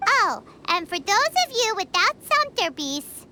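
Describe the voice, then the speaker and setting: A woman voicing a tiny, childlike, excitable and nosy sidekick with a squeaky voice in a video game cutscene. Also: measured pace, heavy background noise, heavily acted. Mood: mock dramatic, scornful. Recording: game music loud behind voice.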